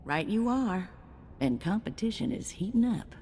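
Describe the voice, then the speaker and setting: A woman's voice: mid-range voice, expressive, moderate pace, dark tone, long reverb tail, a little hiss or hum, natural voice. A woman playing a spirited bounty handler in a video game, with a folksy, colloquial way of talking. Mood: brisk, pleased.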